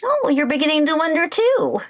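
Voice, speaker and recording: Woman acting as housewife, high voice, swooping pitch, dry wisecracks, vintage radio comedy. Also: very animated, fast talker. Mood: sarcastic. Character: sarcastic young housewife bristling at her mother-in-law.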